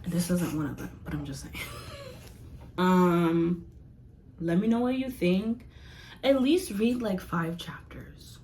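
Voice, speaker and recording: A young woman chatting casually to her viewers in a rambling review, laughing often and filling pauses with "like" and "um". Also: lowish voice, darkish tone, slight background noise. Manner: chatty, giggly, rambling with many fillers. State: losing her voice. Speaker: vlogger reviewing comics.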